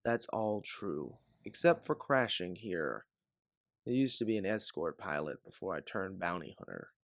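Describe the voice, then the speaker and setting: A man playing an older, protective bounty hunter mentor in an audio drama, speaking in an earnest, measured way. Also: sits low, animated, vocal fry, no echo, studio quality, full character voice. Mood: weary, frank. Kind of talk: dramatic audio fiction acting.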